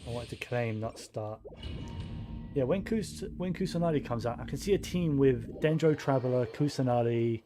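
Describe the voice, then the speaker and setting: A man's voice: medium pitch, moderate pace, dark tone. A man giving casual gaming commentary, thinking aloud in a muttering way and swearing casually. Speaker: game streamer. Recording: game music behind the voice. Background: game music.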